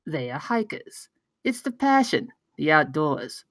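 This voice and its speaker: A brash pitchman acted by a woman at a table read talks in a fairly low, very animated voice. The delivery is emphatic, profane and conversational, like someone selling a story, and the sound is close and dry. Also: unhurried, dry recording. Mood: excited storytelling. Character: brash, excitable male pitchman spinning a campfire tale.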